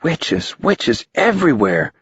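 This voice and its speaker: An excited young boy shouts his wonder, as a man reading aloud puts him on. The voice is pushed high and eager with a husky edge. The audiobook recording is dry and slightly dull. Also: normal speed, dry recording, heavily acted.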